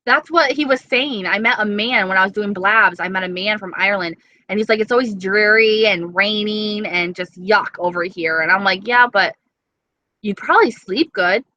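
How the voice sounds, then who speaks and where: A woman's voice: medium pitch, moderate pace, pushed and bright, dry recording, dull audio. A young woman with an East Coast accent chatting casually on a live stream, reading viewer comments and swearing freely. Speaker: beauty vlogger.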